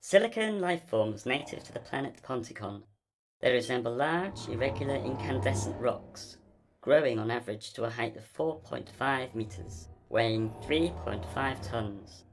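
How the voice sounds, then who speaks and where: A man voicing a talking cat-like smart assistant in an audio drama, reciting facts in a deadpan way. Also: medium pitch, moderate pace, music in the background, lightly acted, low-quality recording. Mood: matter-of-fact.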